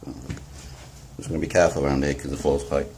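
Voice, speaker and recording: A man from the UK exploring a dark abandoned pub, talking to his handheld camera in low, muttered asides. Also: flat delivery, fast talker, background noise. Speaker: urban explorer. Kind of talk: quiet solo exploring commentary.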